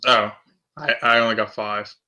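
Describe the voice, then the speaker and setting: A man's voice: on the low side, flat delivery, normal speed, sharp tone. A man playing a tabletop role-playing game, talking casually through his moves.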